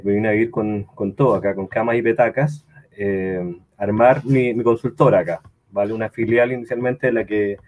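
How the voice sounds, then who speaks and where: A man's voice: fairly low pitch, a little mellow. A Chilean man with a Chilean accent giving a conversational webinar talk, often restarting phrases and tagging them with "¿vale?". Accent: Chilean, slightly mixed after living in Colombia. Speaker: guest speaker, consultancy founder.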